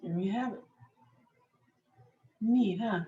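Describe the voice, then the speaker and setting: Woman, craft instructor, unhurried, slightly hoarse, dull lo-fi camera audio. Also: mid-range voice, dark tone. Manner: patient, chatty, talks while working with her hands. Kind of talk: slow step-by-step craft demonstration.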